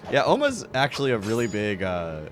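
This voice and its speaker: A man's voice: medium pitch, wildly swooping pitch, fairly quick, faint music behind. A man hosting a game livestream, chatting casually into a streaming mic. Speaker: livestream host.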